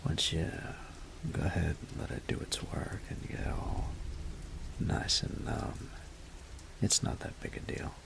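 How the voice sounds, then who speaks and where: A very gravelly, low-voiced Southern guy acted out by a man plays the flirty roommate in an intimate audio roleplay. He drawls slowly and half-whispers, teasing as he goes. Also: animated, noisy background, exaggerated voice. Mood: wry, reassuring. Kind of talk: intimate roleplay audio acting, close and conversational.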